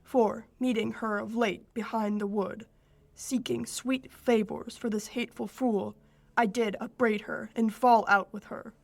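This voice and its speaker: A young woman playing a proud fairy king, reciting Shakespearean verse in a measured, declamatory way for a radio play. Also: fairly high pitch, fairly even intonation, creaky, dry recording. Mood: pitying, recounting. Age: teens or young adult.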